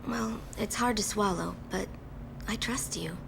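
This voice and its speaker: An actress voicing a trusting, supportive comrade in a video game, speaking softly and reassuringly. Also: female voice, medium pitch, unhurried. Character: loyal, trusting female comrade, calm and supportive. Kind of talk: scripted video game acting. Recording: clear ambient noise.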